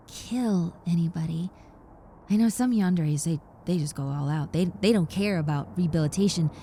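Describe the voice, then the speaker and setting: A woman's voice: medium pitch, dark tone, a little hiss or hum, close to an everyday voice. A woman playing a shy, rambling young roommate, a reformed obsessive, in an intimate audio roleplay with hesitant, stammering delivery. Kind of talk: roleplay audio acting, intimate one-sided conversation.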